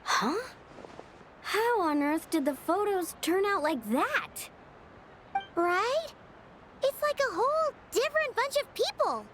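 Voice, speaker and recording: A woman voicing a small, excitable, easily scared fairy-like companion girl in an anime-style video game, with a childlike manner. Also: very high voice, slow pace, pauses often, slight background noise, heavily acted. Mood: puzzled. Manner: childlike, speaks of herself in third person.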